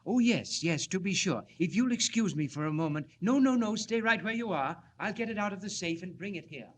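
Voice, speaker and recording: An actor playing a courteous, fussy scientist in a 1940s radio drama, with an earnest, polite manner. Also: male voice, sits high, animated, brisk, a little hiss or hum.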